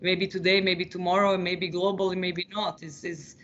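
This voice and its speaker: A man's voice: high voice, flat delivery, normal speed, pushed and bright, dull audio, a little hiss or hum. A man moderating a webinar panel, thoughtful, often repeating words while he searches for them.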